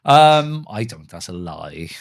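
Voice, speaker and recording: Chatty, joking man with very animated pitch. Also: takes it slowly, gravelly. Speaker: podcast host. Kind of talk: casual banter.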